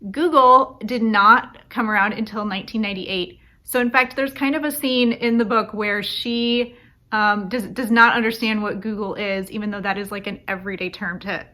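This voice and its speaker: Chatty woman author, bright voice, keeps saying um. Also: medium pitch. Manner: chatty, many ums and restarts. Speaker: young adult author. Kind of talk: casual self-recorded video.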